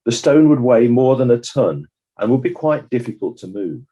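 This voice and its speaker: A man playing a measured, judicious coroner, delivering a formal, deliberate summing-up in a dramatized courtroom inquiry. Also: medium pitch, big pitch swings, mellow, rough recording. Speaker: presiding judge of inquiry.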